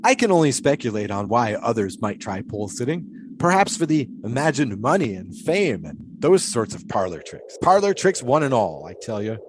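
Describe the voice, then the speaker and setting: A man's voice: medium pitch, sing-song, normal speed, close to an everyday voice. Man playing folksy philosophizing flagpole sitter, noisy ambience. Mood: proud, musing. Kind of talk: audio drama dialogue in 1920s period idiom.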